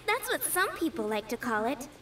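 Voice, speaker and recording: A cheerful little flower girl from an anime game, sounding a bit far from the mic. The voice is high, sweet and innocent, with dreamy, very animated pitch over music. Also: normal speed. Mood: dreamy, giggly.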